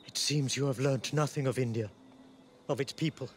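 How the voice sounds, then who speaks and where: An actor playing an exiled Indian maharaja in a game cutscene, earnest, dignified and resolute, speaking formally and with measure. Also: male voice, medium pitch, moderate pace, faint music behind, natural voice. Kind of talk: video game cutscene acting.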